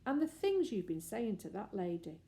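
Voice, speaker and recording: A woman voicing a grave, sensible elder brother, a boy talking in a measured, patronising way in a storybook. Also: mid-range voice, big pitch swings, mellow.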